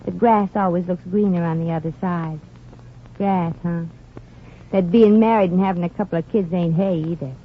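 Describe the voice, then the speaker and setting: A woman's voice: on the low side, steady tone, moderate pace, narrow, tinny audio, close to an everyday voice. An actress playing a brassy, folksy, wisecracking young American woman in a 1940s radio comedy. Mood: wry, chatty. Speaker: lead actress and narrator of a comedy series. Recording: noisy, band-limited old radio recording.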